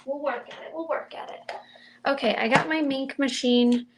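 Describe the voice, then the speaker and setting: A middle-aged woman casually chatting through a crafting livestream, mild and soft-spoken as she thinks aloud while she works. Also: high voice, moderate pace. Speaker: card-making demonstrator.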